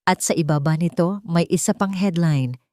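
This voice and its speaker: A Filipino woman reads a dramatic love story as a narrator. Her voice sits fairly low, and the recording is dry and close, with little room sound. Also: normal speed, warm tone, no echo.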